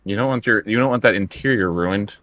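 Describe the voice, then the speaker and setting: A man's voice: on the low side, brisk, fairly bright tone, no echo. A man playing a tabletop role-playing game, improvising his actions and lines in a casual, conversational style. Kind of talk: casual in-character improvised play.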